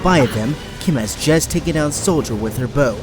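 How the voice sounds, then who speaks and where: Male narrator over very loud music. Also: a little high, animated, moderate pace. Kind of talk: dramatic scripted narration. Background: loud orchestral music.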